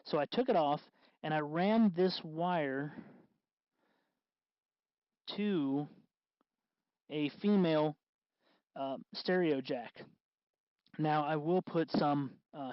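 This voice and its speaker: A man hosting a casual hands-on DIY tutorial, explaining in a halting, unscripted way, with slightly muffled sound. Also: fairly high pitch, dry recording. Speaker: host of DIY electronics tutorial.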